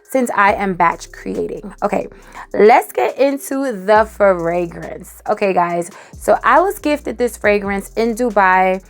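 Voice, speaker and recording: A woman with a hoarse, raspy voice after a cold, chatting animatedly as she reviews a perfume. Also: mid-range voice, takes it slowly. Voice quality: raspy, dry, cracking. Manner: animated, emphatic, tosses in "okay?". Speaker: fragrance reviewer. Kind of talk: casual chatty review to camera.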